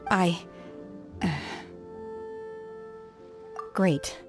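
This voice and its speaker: A woman voicing a reserved, earnest young woman in a game, understated and a little halting. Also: soft background music. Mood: awkward, earnest. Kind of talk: scripted game dialogue.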